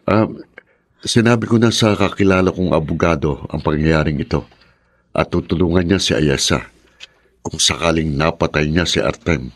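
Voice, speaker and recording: An actor playing a devoted, earnest young man in love in a radio drama, speaking gently. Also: rumbling low, normal speed. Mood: earnest, concerned. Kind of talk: Tagalog radio drama acting.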